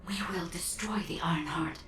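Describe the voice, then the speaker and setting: A woman playing a calm, solemn guiding ally in a sci-fi video game, speaking in a measured, earnest way. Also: fairly low pitch, lightly acted. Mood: solemn resolve. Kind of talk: scripted video game dialogue. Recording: echoey, distant, noisy game ambience.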